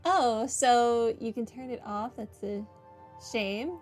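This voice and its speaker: A woman's voice: a little high, expressive, takes it slowly, a little mellow. A woman hosting a gaming playthrough, chatting casually with wry asides and reading prompts aloud. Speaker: gaming video host. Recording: game music behind the voice. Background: video game music.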